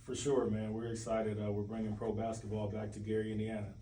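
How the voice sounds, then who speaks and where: A man's voice: on the low side, completely flat delivery, fast talker, dark tone. A man speaking as an interview guest in an earnest, reflective and informal way. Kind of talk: casual conversational interview. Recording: roomy, distant mic, faint background noise.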